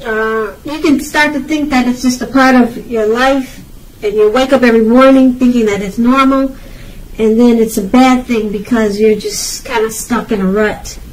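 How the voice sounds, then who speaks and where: A woman's voice: fairly high pitch, steady tone, moderate pace. A woman giving an unscripted tarot reading, speaking to the viewer in a reassuring, advising way with hesitations and repeated phrases. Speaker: tarot card reader. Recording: voice distant from the mic.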